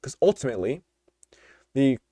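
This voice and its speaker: A man's voice: mid-range voice, big pitch swings, normal speed, warm tone, no echo. Rambling opinionated young man, dry close mic. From United States. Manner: rambling, opinionated, many fillers and restarts. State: voice tiring. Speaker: podcast host. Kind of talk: unscripted solo commentary.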